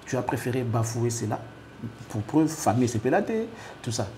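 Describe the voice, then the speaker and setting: Male interviewer, dark relaxed tone, probing delivery, light background noise. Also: mid-range voice, normal speed. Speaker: interviewer, host.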